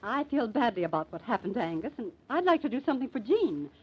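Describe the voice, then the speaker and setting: Husky, swooping, scheming society matron. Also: female voice, a little high, very animated, fast talker. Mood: persuasive, scheming. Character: earnest, scheming respectable small-town society matron. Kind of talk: old-time radio drama acting. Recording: dull, muffled old radio recording.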